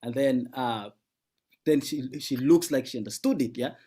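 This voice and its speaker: A man's voice: medium pitch, moderate pace, dark tone, breathy edge. A man from Sudan, doing a laid-back stand-up set from home over a video call, repeating words and chuckling through his jokes. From South Sudan. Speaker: guest comedian. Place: home at night.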